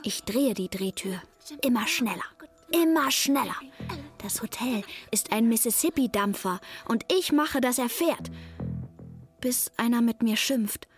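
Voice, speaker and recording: A woman's voice: fairly high pitch, lively intonation, unhurried, soft background music. A woman playing a young girl who narrates her lonely hotel stay in plain, short, childlike sentences, as in a radio drama. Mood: matter-of-fact, childlike. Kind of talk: first-person radio drama narration in present tense.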